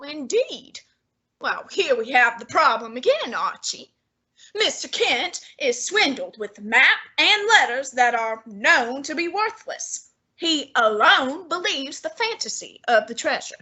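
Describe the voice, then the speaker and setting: A young woman voicing a formal, fussy genius male detective in an old-time radio drama, speaking in a deliberate, exacting manner. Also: high voice, very animated, bright, forceful tone, exaggerated voice. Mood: reasoning. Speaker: student actor.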